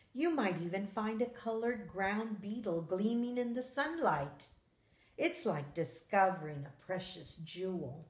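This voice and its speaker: Slow-reading woman in an echoey room. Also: lowish voice. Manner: measured, expressive storytelling for children. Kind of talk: children's nonfiction read-aloud.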